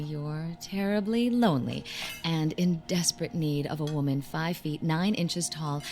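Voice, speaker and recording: A woman in her thirties about to elope. Her lowish voice is confident and playful, full of dry, teasing banter in a naturalistic radio drama style. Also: a little mellow. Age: 30s. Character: wry, teasing, confident thirty-one-year-old bride-to-be.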